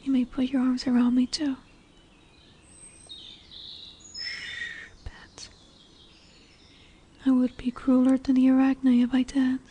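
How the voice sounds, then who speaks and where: A woman voicing a dominant but tender lamia in a fantasy roleplay audio drama, speaking softly and soothingly, often dropping into whispers. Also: sits high, monotone, measured pace, slightly rough. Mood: tender, possessive. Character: dominant, tender female lamia, snake-bodied forest monster.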